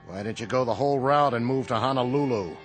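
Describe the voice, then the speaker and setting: A male actor plays a weary saloon owner in an old-time radio drama. He has a middling pitch and a dry, sarcastic way of delivering wisecracks. Faint music runs underneath. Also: fast talker, natural voice. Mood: deadpan.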